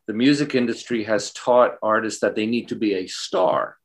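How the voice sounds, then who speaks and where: A man with a mid-pitched voice talks as a guest in an interview. He speaks conversationally and emphatically, laying out firm opinions in short, declarative phrases.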